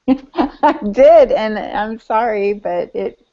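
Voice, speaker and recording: Mellow-voiced woman chatting on a podcast. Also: on the high side, extremely slow. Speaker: podcast co-host. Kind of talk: casual podcast chat. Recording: dull lo-fi audio.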